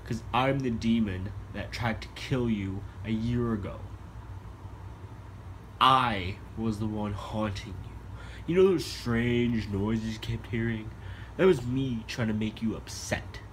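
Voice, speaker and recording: A man's voice: fairly low pitch, slow pace, a little hiss or hum, poor audio. A young man voicing a spiteful male demon trapped in a mirror, taunting and mocking, recorded on a phone in a small bedroom. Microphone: phone camera held at arm's length.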